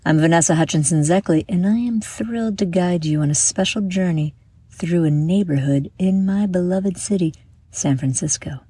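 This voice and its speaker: A woman guiding a meditation from a script in a soothing, measured manner, with breathy exhaled phrases. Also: on the low side, dark tone, dry recording. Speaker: meditation guide and host. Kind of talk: guided meditation, scripted narration.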